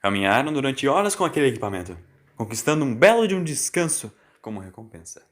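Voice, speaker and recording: A man's voice: medium pitch, sing-song, fast talker. A man with a Brazilian accent reading a scripted, dramatic story as its narrator. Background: music.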